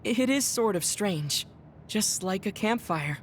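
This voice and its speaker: A woman's voice: mid-range voice, animated, slight background noise. A woman playing a composed, matter-of-fact young soldier in an anime game dub, speaking formally. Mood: calm. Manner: formal, measured phrasing. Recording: music clearly behind the voice.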